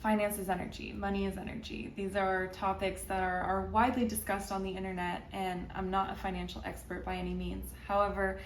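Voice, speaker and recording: A woman from California talking earnestly and reflectively in a casual personal vlog, with a gentle motivational tone. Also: mid-range voice, level tone, fairly quick, hardly any pauses, a little hiss or hum. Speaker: vlogger. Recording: roomy sound from a distance.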